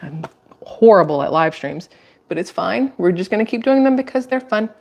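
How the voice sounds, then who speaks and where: A woman's voice: mid-range voice, lively intonation, normal speed, creaky, darkish tone. Chatty American woman live streaming. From Atlanta, United States. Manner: chatty, rambling, emphatic asides. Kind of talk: casual political commentary to viewers.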